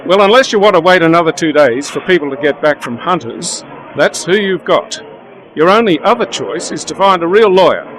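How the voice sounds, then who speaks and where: High-voiced, lively, wry man acting a sidekick. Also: brisk, low-quality recording. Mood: dry, chiding. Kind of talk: radio play acting.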